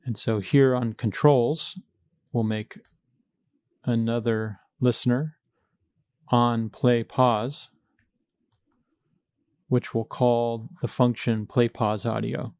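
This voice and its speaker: A man's voice: mid-range voice, little pitch movement, takes it slowly, pauses often, darkish tone, dry recording. Man giving a dry-recorded coding walkthrough. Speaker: coding tutorial instructor. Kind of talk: screencast coding walkthrough, explaining step by step.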